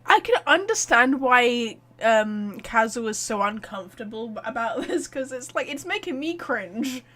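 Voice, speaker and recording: A woman's voice: on the high side, expressive, measured pace, fairly bright tone. A woman streaming a video game with casual, chatty, self-deprecating live commentary.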